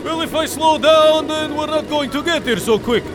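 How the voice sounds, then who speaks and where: A man voicing a gruff Russian taxi driver in a comedy sketch, speaking broken English with a Russian accent and flat deadpan delivery. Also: very high voice, normal speed, over music.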